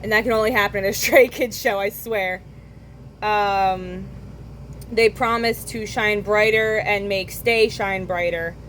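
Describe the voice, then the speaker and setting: A young woman hosts a podcast in an easy, casual chat. Her voice is hoarse and worn from singing at a concert, and her pitch sits in a middle range. She keeps tossing in quick asides and corrects herself mid-thought. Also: steady tone, a bit bright, slight background noise, rough recording. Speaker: podcast host.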